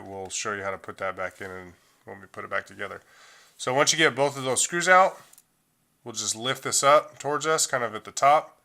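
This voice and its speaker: A middle-aged man giving a casual, step-by-step phone repair tutorial at a workbench, talking loosely with plenty of fillers. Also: medium pitch. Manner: relaxed, loose delivery with frequent fillers.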